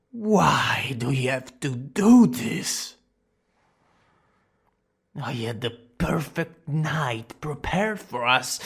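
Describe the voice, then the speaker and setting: Man acting a theatrical young villain, creaky and expressive. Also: high-pitched, full character voice. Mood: flustered, exasperated. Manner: dramatic, drawn-out boasting that slips into breathy whispers and flustered exclamations. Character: smug young male villain student, theatrical, secretly smitten and easily flustered. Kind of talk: ASMR romance roleplay acting. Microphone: close studio-style microphone.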